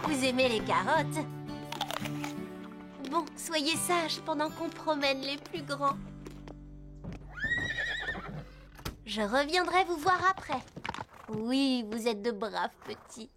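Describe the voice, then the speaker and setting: Very high-pitched cartoon girl. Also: lively intonation, takes it slowly, over loud music. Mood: tender, cheerful. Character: caring, expressive girl who tends horses. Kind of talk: French cartoon dubbing.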